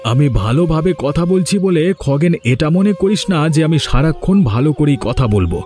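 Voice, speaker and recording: A man's voice: a little high, expressive, normal speed, dark tone, soft background music, lightly acted. A man playing a principled, defiant young village man in a cartoon drama, speaking with firm challenge. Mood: indignant. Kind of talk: Bengali cartoon drama dialogue.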